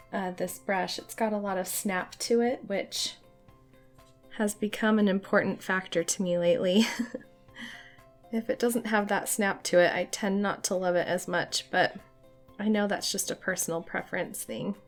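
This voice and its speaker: A young woman with a hoarse voice from a cold, chatting casually as she teaches a watercolor painting tutorial. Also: mid-range voice, fairly even intonation, a little slow, faint music behind. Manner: friendly, chatty, light laughs.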